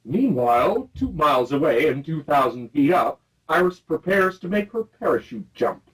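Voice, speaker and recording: A man playing a melodramatic narrator and underling in a comic radio play, with a husky voice. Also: on the high side, big pitch swings, moderate pace, fairly bright tone, a little hiss or hum, low-quality recording. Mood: dramatic.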